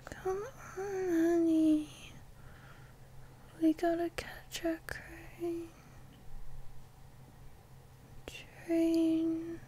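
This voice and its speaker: A woman playing a sleepy, affectionate young girlfriend in an intimate ASMR roleplay, soft and breathy, often whispering, with a coaxing, playfully bossy murmur. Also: very high voice, completely flat delivery, a little hiss or hum, exaggerated voice. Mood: dreamy sleeptalk. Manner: drowsy, slow, murmuring, coaxing.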